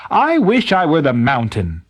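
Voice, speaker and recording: A man's voice: a little high, huge pitch swings, a little slow, exaggerated voice. A man voicing a wistful stonecutter who wishes to be stronger, exclaiming in a storybook tale. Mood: wistful, envious. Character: male stonecutter, ever wishing to be stronger.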